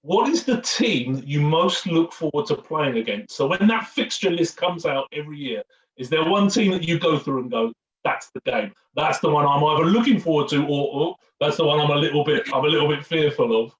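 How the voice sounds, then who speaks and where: A man's voice: fairly high pitch, animated, fairly quick. A man hosting an informal fan Q&A, chatty and jokey with rambling, repeated phrases, on a muffled, echoey, distant recording. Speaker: host of a fan Q&A.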